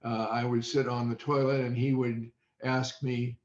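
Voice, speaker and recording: An American man in his eighties recollecting in an interview, speaking in a halting, deliberate way. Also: medium pitch, level tone. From Indiana, United States. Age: 80s. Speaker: interview guest. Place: indoors on a video call. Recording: dull roomy video call audio.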